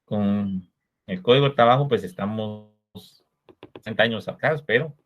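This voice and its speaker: A Guatemalan man with a low voice and a Guatemalan Spanish accent lectures on labor law in a measured, explanatory way, stopping to pose rhetorical questions. The recording sounds dull and lo-fi.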